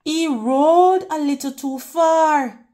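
A woman reading a picture book aloud to children in a deliberate, teacherly way, pausing to put questions to them. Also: sits high, warm tone. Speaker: children's read-aloud host.

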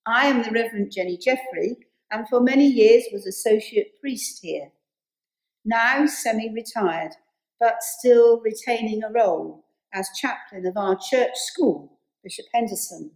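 An older English woman, a priest, leading a scripted church welcome and prayer in measured, deliberate phrases. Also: on the high side. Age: 60s or older. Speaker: Anglican priest leading worship. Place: inside a stone church. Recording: roomy church echo.

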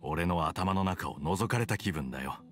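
An actor playing a stern, conflicted soldier with hidden kindness, speaking in a measured, blunt way in a video game dub. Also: male voice, low voice, animated, fairly bright tone, soft background music. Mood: calm, reflective. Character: stern, conflicted male soldier, weary but secretly kind. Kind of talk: Japanese game dub acting.

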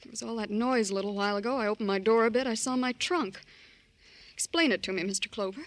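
Actress as a high-voiced, desperate young woman suspect. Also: quick pace, natural voice. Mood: uneasy, defensive. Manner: breathless, tearful, pleading. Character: nervous, desperate young woman murder suspect from small town. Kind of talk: old-time radio crime drama acting.

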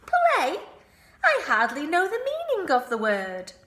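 A woman's voice: high-pitched, wildly swooping pitch, measured pace, roomy sound, noisy background, voice pushed up, full character voice. A woman voicing a sour, greedy male rat who sneers as he talks.

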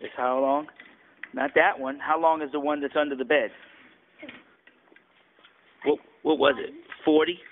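A man's voice: on the high side, unhurried, creaky, pauses often. A father patiently coaching his son through a repair job at home, filmed on a handheld camera. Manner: patient, coaching, giving short instructions. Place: indoors at home. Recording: tinny, lo-fi, faint noise.

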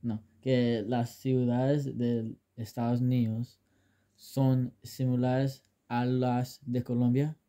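Young man, very dark soft-edged tone. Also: medium pitch, level tone, slow pace. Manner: hesitant, careful learner's Spanish. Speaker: interviewer. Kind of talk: simple interview questions.

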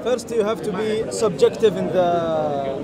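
A man's voice: sits high, lively intonation, measured pace. A native Arabic-speaking man explaining his view in an informal debate, somewhat hesitantly. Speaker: debate participant. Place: outdoors in a busy park. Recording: loud crowd all around.

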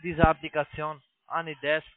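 A man who is not a native speaker, giving instructions on a phone call in halting, broken sentences as a call centre agent. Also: a little high, completely flat delivery, no room sound. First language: not German. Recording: tinny narrowband phone line.

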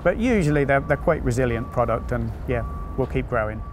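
Older plain-spoken farmer. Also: male voice, medium pitch, expressive, fairly quick, music in the background. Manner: plain-spoken, agrees readily. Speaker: pumpkin farmer. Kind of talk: casual explanation. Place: polytunnel on a pumpkin farm.

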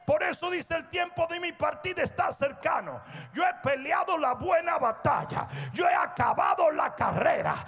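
A middle-aged male pastor preaching a sermon on a large church stage, swinging from hushed asides to shouted peaks and calling on the crowd to answer. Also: very high-pitched, sing-song, normal speed, few pauses. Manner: prompts the crowd for responses. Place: large church stage before a seated audience. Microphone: handheld microphone. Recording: long hall reverb with faint music.

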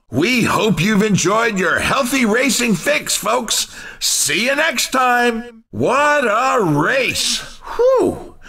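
Manic video game race commentator, very high-pitched, piercingly bright, folksy, exuberant showman patter. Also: vocal fry, far from the mic, heavily acted. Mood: cheerful sign-off. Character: manic, over-excited male race announcer. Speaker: racing commentator.

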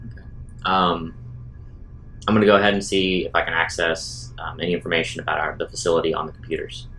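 A man's voice: low-pitched, flat delivery, quick pace, fairly bright tone, poor audio. A man playing a tabletop roleplaying game, talking casually and improvising details.

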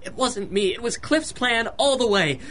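High, panicky small-time crook. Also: male voice, animated, normal speed, a bit bright. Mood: pleading. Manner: slangy, pleading, stammering. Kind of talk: 1930s radio gangster acting.

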